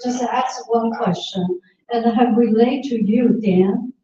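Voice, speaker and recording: A woman from Taiwan with a Taiwanese accent, asking a question at a public forum in halting, emphatic English. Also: a little high, dark tone. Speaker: audience member asking a question. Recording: echoey hall, distant muffled mic.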